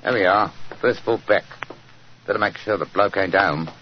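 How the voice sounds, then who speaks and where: A man's voice: low-pitched, moderate pace, close to an everyday voice. A man putting on a Cockney accent to play a cheery, chatty workman in a radio drama. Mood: jaunty. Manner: chummy, sing-song, hums and chatters. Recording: dull old radio recording, light hiss.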